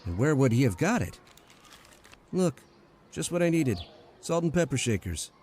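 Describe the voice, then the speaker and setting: A man's voice: mid-range voice, big pitch swings, normal speed, a little mellow, a little hiss or hum, lightly acted. An actor playing a breezy, blunt, wisecracking man in an old-time radio drama.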